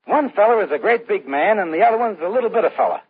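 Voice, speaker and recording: A tinny vintage radio recording of an eager entertainer. His high, husky voice swings up and down earnestly, with a creaky edge. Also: lively intonation, quick pace, heavily acted. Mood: thoughtful. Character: eager, inventive radio singer turned comedian. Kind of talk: old-time radio drama acting.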